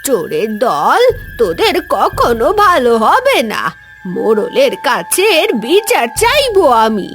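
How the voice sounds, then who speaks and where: Slow, high-pitched scolding old woman. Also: huge pitch swings, a bit bright, soft background music, full character voice. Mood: angry, accusing. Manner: accusing, scolding. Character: suspicious scolding old village woman guarding her orange tree. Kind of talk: Bengali fairy-tale cartoon acting.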